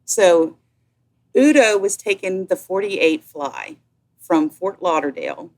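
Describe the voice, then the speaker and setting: A woman's voice: on the low side, huge pitch swings, slow pace, gravelly, darkish tone. A woman speaking as a guest yacht sales representative, chatting casually and enthusiastically as she tells a story. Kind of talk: casual chat, telling an anecdote.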